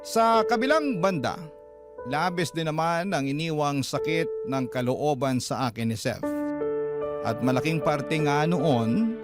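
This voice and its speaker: A man with a Filipino accent reading a letter as a radio host, in emotive, dramatic scripted narration phrase by phrase. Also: on the high side.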